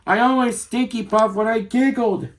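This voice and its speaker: A man's voice: very high-pitched, steady tone, moderate pace, pitched above the performer's own voice. A man voicing a little apologetic skunk boy in a children's storybook.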